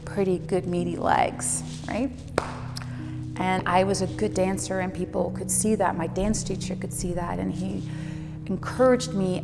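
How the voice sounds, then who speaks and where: A woman telling her personal story reflectively, unhurried and emphatic, with dramatic pauses. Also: lowish voice, gravelly. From Netherlands. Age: 40s. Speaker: fitness instructor and speaker. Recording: long reverberant hall, music underneath. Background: music.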